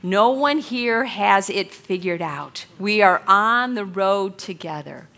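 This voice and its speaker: Woman, Bible study teacher, loud and encouraging, bright voice. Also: mid-range voice, animated, slow pace, creaky. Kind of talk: women's Bible study lesson. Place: meeting room with a blower.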